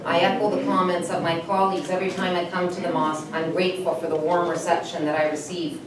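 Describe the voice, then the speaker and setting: A woman's voice: fairly low pitch, flat delivery, fast talker, crowd noise. A middle-aged woman with a Canadian accent, giving a prepared campaign speech as a political candidate. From Hamilton, Canada. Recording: very echoey hall, distant, noisy.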